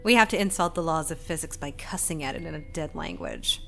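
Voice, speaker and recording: A woman's voice: low-pitched, lively intonation, brisk, gravelly, faint music behind. A woman playing a sarcastic, reckless young assistant to a scientist in a comedic audio drama. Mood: sardonic, impatient. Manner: sarcastic, quippy.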